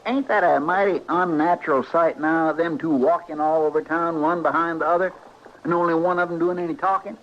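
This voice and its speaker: A man plays a talkative frontier deputy, fretful and folksy. His voice sits on the high side and his phrasing is rustic. The old radio western recording is dull and faintly noisy. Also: steady tone, fairly quick, natural voice. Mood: curious, fretful. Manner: rustic drawling turns of phrase. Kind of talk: 1950s radio western acting.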